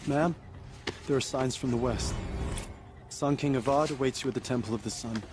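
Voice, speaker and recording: A man's voice: mid-range voice, moderate pace, noisy background, close to an everyday voice. An actor playing a respectful male messenger soldier in a video game, reporting formally. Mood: dutiful.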